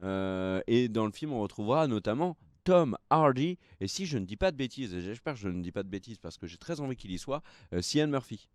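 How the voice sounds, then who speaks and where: Young male co-host, tired, loose and filler-heavy, mid pitch, dry close sound. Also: animated, brisk, few pauses, no echo. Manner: rambling, hesitant with many fillers. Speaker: co-host of a film news show. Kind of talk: casual live-stream chat.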